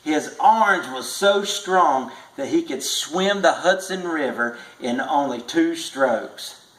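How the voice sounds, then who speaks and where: A man reads a storybook tall tale aloud at a very slow pace. His voice is a little high for a man, with measured emphasis, and the room is clearly echoey. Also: lively intonation, fairly bright tone. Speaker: storybook reader.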